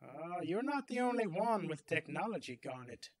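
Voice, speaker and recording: A man's voice: on the high side, sing-song, moderate pace, voice pushed up. A man voicing a smug, theatrical crime boss villain with a grandiose, taunting manner in an audiobook.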